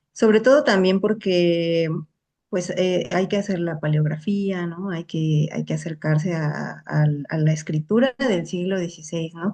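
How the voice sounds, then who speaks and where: A young woman with a lowish voice explains her research over a video call. She talks carefully, restarting phrases and checking in with her listeners. Her delivery is thoughtful and academic. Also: a little mellow. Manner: careful, restarts often, tags statements with a questioning "no". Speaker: invited lecturer. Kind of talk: academic talk and Q&A answer. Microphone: online video call.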